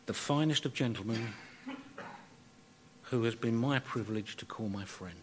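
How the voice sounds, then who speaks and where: A man delivering a formal, prepared eulogy in a measured, courteous and wordy manner. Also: medium pitch. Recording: clear background noise, live event.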